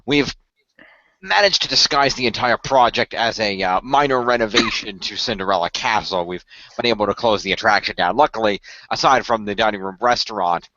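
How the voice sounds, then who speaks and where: A man plays an earnest tech expert on a hero team in an amateur radio play. He explains plans in long, halting, technical sentences, on a dry, slightly muffled lo-fi recording. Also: fairly low pitch, sharp tone, dry recording. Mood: explanatory. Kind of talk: amateur radio play, improvised-sounding dialogue. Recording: dull lo-fi voice recording.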